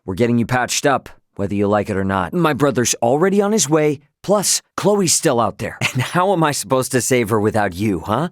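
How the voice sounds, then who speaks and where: A man's voice: mid-range voice, sing-song, normal speed, few pauses, no room sound, clean studio sound, close to an everyday voice. An actor voicing a brash, loyal young male spaceship captain in a video game, with an American accent and a casual, cocky, emphatic way of talking. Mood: insistent, reassuring.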